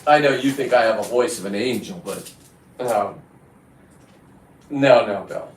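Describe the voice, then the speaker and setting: A man hosting a casual livestream, talking in a halting, digressive way as he chats to someone off-screen and to his viewers. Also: lowish voice, slight background noise. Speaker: live stream host. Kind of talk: casual, rambling hands-on livestream chat. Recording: echoey room, distant from the mic.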